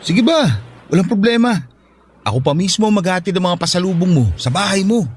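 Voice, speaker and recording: A man's voice: high voice, animated, fairly quick. Man acting sincere, emotive Filipino ship worker. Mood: elated, grateful. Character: downhearted, grateful male Filipino ship worker missing family. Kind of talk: Filipino audio drama acting.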